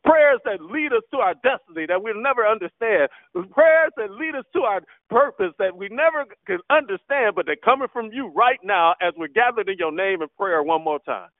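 A man's voice: sits high, expressive, moderate pace, few pauses, dry recording. A man leading an impassioned spoken prayer on a prayer line, repeating hallelujahs. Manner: impassioned, repetitive, frequent hallelujahs. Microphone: telephone. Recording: tinny phone line, dry.

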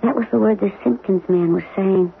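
An actress in a 1970s radio drama playing a worried, practical middle-aged wife, coaxing and questioning in plain words. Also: female voice, medium pitch, a little fast, vocal fry, lightly acted. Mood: curious, doubting. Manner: probing, coaxing, plain-spoken. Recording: tinny, hissy old radio broadcast.

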